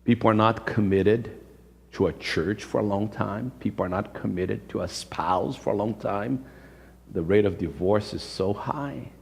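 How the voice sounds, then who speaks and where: A man's voice: fairly low pitch, gravelly. A man in his fifties with a Brazilian accent, a native Portuguese speaker, preaching a sermon emphatically and repeating phrases for effect. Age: 50s. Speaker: pastor. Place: church sanctuary. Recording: reverberant hall, live-streamed church service.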